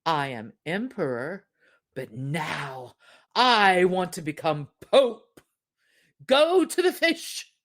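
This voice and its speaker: A woman's voice: fairly low pitch, big pitch swings, slow pace, heavily acted. A woman voicing a domineering, greedy fisherman's wife in a storybook, commanding, impatient and haughty. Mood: demanding.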